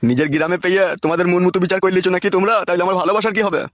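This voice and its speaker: Man playing a high-pitched, lovesick old villager. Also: steady tone, rapid-fire, a bit bright, no room sound. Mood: aggrieved. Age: older. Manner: plaintive, pleading, melodramatic. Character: lovesick bearded older villager, whining and pleading. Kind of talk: Bengali village comedy cartoon dialogue.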